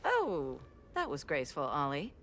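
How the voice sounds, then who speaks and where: An actress playing a tough, wry superheroine in a video game, with a dry and assertive delivery. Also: female voice, sits low, wildly swooping pitch, normal speed, very noisy. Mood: wry, defiant. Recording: under loud game music.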